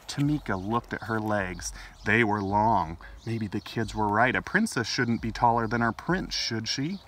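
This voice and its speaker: A man's voice: fairly low pitch, measured pace, a bit bright. A middle-aged man reading a picture book expressively to children as a storytime host, recorded outdoors. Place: outdoors in a garden. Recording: distant mic, faint outdoor noise.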